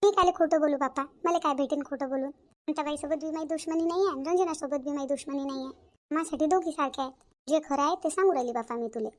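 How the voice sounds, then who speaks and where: Woman voicing a high, quick-talking Varhadi village aunt. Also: lightly acted. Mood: emphatic, indignant. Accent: Varhadi Marathi from Vidarbha. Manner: emphatic, repetitive, colloquial. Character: outspoken village aunt, sister-in-law, frank peacemaker. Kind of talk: cartoon family drama acting.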